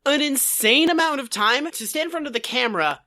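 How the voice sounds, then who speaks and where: A woman's voice: high-pitched, expressive, fairly quick, pushed and bright. A woman co-hosting a podcast, chatting casually and comedically with an irreverent, sweary, exclamatory way of talking. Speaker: podcast co-host. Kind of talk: casual comedic chat about an old TV show.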